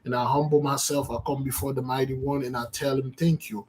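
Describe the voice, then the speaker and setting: A man with as his giving an informal spiritual talk to his followers, animated, repeating words and calling out to his listeners. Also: mid-range voice, brisk, a little hiss or hum. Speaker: spiritual teacher.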